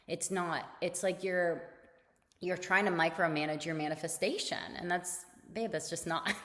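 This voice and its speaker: A woman hosting a solo motivational podcast, talking casually and repeating her key points, her voice slightly raspy. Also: on the low side, big-room sound. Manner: casual and conversational, repeats key points, laughs between phrases. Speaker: podcast host.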